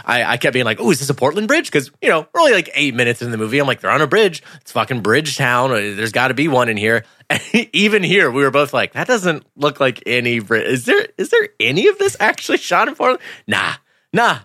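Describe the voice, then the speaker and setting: Male podcast co-host, lively swooping delivery, bright forceful tone, jokey profane film chat. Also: medium pitch, quick pace, dry recording, studio quality. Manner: animated, joking, swears freely. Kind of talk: casual profane banter about a film.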